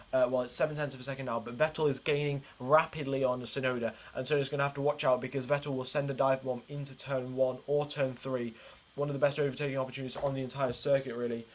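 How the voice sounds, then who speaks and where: A man hosting a livestream, giving informal, chatty commentary on a motor race and explaining it as he goes. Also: medium pitch.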